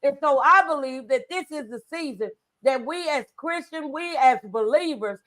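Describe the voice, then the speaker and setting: High-pitched woman hosting inspirational talk. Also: lively intonation, normal speed, a bit bright, poor audio. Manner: exhorting, conversational. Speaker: talk show host.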